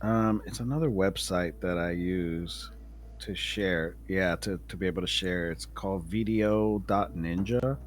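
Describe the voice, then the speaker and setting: A man's voice: deep voice, measured pace. A man chatting casually as a guest player joining a game stream over the internet. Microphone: remote internet call.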